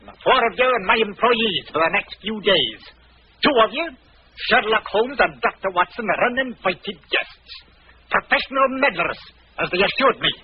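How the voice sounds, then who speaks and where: An actor playing a menacing, imperious mad professor in 1940s radio drama style, with pedantic, theatrical speech. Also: male voice, high-pitched, lively intonation, normal speed, breathy edge. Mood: imperious, angry. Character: sinister mad male professor, menacing and gleeful about experiments. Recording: dull, noisy old radio recording.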